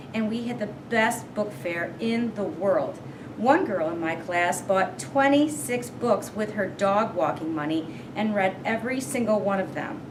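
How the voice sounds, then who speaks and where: A woman on a school's staff reading a children's storybook aloud. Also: mid-range voice. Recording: noisy room sound, distant microphone.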